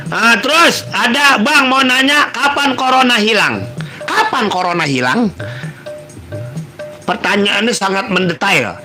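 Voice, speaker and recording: High-pitched joking Betawi man with music. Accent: Betawi Jakarta. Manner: banters, teases listeners, breaks into loud bursts. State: runny nose, sniffly. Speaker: comedic radio-style host reading listener questions. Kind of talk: joking commentary and pantun.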